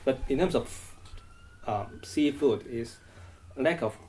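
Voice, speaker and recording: A man's voice: mid-range voice, animated, takes it slowly, dark tone, rough recording. A middle-aged man from Hong Kong, answering interview questions hesitantly, with many restarts and repeated words. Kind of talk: oral history interview.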